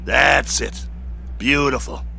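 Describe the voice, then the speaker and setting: A man's voice: mid-range voice, slow, creaky, heavily acted. An American actor in an audio drama playing a stubborn, desperate male manager of a fighting robot, urgent and commanding. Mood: eager, urging. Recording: loud music under the voice.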